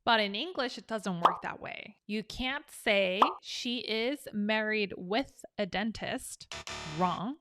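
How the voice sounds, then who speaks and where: A woman's voice: mid-range voice, slow, music in the background. A young woman from South Korea, teaching an English lesson in a chatty, upbeat way. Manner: chatty and upbeat, explaining with examples. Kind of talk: casual online English lesson.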